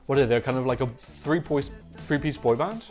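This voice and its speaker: A man giving casual, excitable reaction commentary on songs, swearing freely as he chats. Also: medium pitch, a little fast. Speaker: Eurovision reaction YouTuber. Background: faint music playing.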